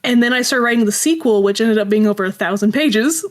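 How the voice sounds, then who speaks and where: A woman with a fairly high voice chats casually as a guest. She rambles through her stories and tends to play herself down with self-deprecating asides. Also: fast talker. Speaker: interview guest, audio drama creator. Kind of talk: casual chat, telling anecdotes.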